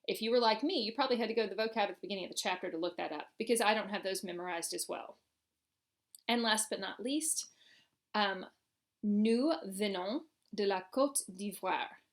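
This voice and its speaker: A woman gives a recorded language lesson as a French teacher. Her voice sits at an average pitch for a woman. She explains grammar in an instructional way and sounds out examples with deliberate care.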